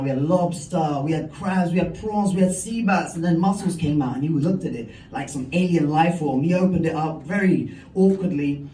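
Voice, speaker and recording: A man's voice: a little high, warm tone. A man, doing a stand-up comedy set with a punchy, self-mocking delivery. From London. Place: comedy club stage. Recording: roomy, distant, crowd and hum. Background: audience laughter.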